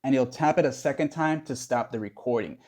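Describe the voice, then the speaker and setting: A man's voice: medium pitch, fairly quick, a little mellow. A man narrating a casual how-to tutorial in a conversational, instructional way. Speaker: tutorial host.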